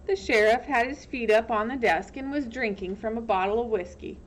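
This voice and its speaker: A woman's voice: on the high side, a little fast, a little hiss or hum, low-quality recording. A woman reading a children's novel aloud in scripted storybook narration. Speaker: audiobook reader.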